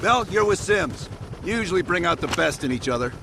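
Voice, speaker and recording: An actor playing a gruff, profane soldier in a military video game, blunt and wry as he addresses his squad. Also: high-pitched, lively intonation, fairly quick, background noise. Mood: wry, matter-of-fact.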